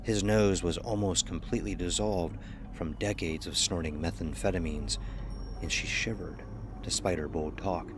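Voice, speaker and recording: A man's voice: low voice, steady tone, moderate pace, over music. A man reading a scripted horror story as its narrator.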